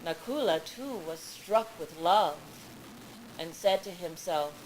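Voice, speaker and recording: A woman reading a story aloud to a live audience with deliberate, emphatic phrasing. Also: on the low side, lively intonation, rough recording. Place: public library event room. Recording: live public event with background noise.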